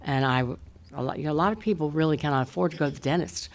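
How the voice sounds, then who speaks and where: Older council member, creaky voice, raising concerns at a meeting, careful and halting. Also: mid-range voice. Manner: deliberate, halting, repeats words. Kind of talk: stating concerns at a public meeting. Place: public council meeting room.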